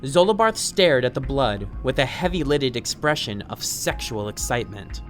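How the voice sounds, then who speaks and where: A man's voice: mid-range voice, big pitch swings, moderate pace, a bit bright, soft background music. A man reading scripted fiction as an audiobook narrator.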